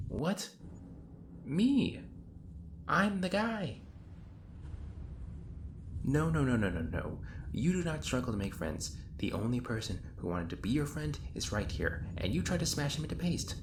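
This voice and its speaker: A man playing an exasperated, sarcastic hero in an audio roleplay, rambling in casual modern phrasing. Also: mid-range voice. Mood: indignant, hurt. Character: exasperated, sarcastic young hero stuck in time loops. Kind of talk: audio roleplay acting, conversational monologue. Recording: roomy echo, clear background noise. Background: designed ambience.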